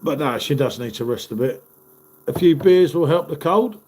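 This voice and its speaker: A man's voice: a little high, animated, normal speed, darkish tone. Chatty man with a stuffy cold. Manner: chatty, matey, calls viewers "mate". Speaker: live-stream host. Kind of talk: casual live-stream chat, reading viewer comments.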